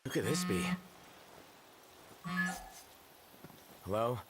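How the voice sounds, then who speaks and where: Dry, casual young American prince actor's voice. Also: male voice, lowish voice, expressive, quick pace, heavy background noise, natural voice. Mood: casual, businesslike. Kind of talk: video game cutscene voice acting.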